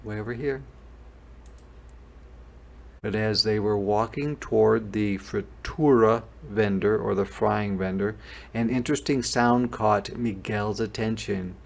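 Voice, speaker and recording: Male teacher, children's read-aloud, explanatory, unhurried, creaky voice. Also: fairly low pitch, steady tone. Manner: explanatory, pausing to explain words and pictures.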